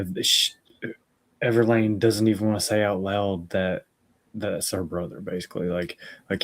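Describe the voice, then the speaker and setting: A man co-hosting a podcast, talking casually about a book and often stopping to search for his words. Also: lowish voice. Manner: halting, searching for words with restarts. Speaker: podcast co-host. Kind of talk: casual book-club chat.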